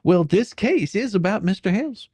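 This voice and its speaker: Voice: medium pitch, expressive, moderate pace, mellow, husky, no room sound. Performer acting a pushy, folksy attorney, swooping intonation. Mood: sarcastic. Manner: badgering, sarcastic, coarse. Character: pushy, folksy, exasperated attorney questioning a witness. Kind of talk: dramatic reading of a deposition transcript.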